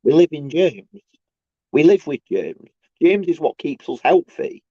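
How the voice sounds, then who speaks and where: A man with a croaky, creaking voice worn by a cold and tiredness talks off the cuff to his viewers. His tone is mellow and dark, and he often stumbles, repeats himself and swears as he rants. The sound is dull and lo-fi, with little room around it. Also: on the high side, lively intonation, no room sound. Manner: halting, repetitive, searching for words. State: sore throat from a cold. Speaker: solo video host. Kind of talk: casual rambling monologue to camera.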